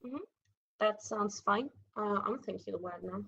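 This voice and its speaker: A woman's voice: mid-range voice, vocal fry, sharp tone, a little hiss or hum. Dull-sounding recording of a woman game master. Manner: informal, halting improvised descriptions. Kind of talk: narrating a tabletop game, casual. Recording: dull lo-fi audio.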